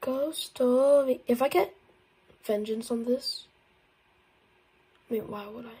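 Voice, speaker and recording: Congested woman YouTuber, very husky and mellow tone, excitable gameplay chatter. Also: on the high side, slow pace. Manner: excitable, with frequent whispered asides. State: congested, croaky voice. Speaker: gaming YouTuber. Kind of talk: casual gameplay commentary.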